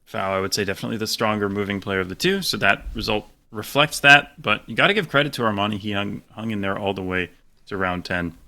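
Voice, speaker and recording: A man's voice: on the low side, quick pace, vocal fry, pushed and bright. A man co-commentating a live game match, analyzing plays and thinking aloud about his guesses. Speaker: esports co-commentator.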